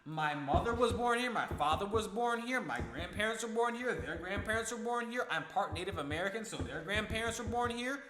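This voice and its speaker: A man's voice: high-pitched, lively intonation, fairly quick, hardly any pauses, reverberant, background noise. A man from Ithaca, New York, co-hosting a casual discussion podcast, talking emphatically and swearing freely when worked up. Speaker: podcast co-host.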